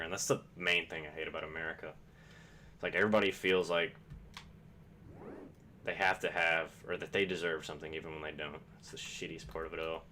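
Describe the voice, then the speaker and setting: A man's voice: sits low, a bit bright, a little hiss or hum. A young man from Kentucky, chatting casually and rambling with plenty of swearing while streaming a game. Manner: rambling, profane, laid-back.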